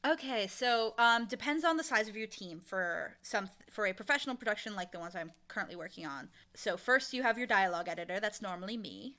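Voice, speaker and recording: Bright-voiced woman, effusive interview guest. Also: medium pitch, fairly quick, hardly any pauses. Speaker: interview guest, audio drama creator and showrunner. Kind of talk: casual, enthusiastic interview talk.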